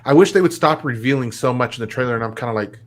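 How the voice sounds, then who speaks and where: A man's voice: mid-range voice, racing. Chatty man hosting a web show. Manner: chatty, rambling with restarts. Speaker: host. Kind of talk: casual chat.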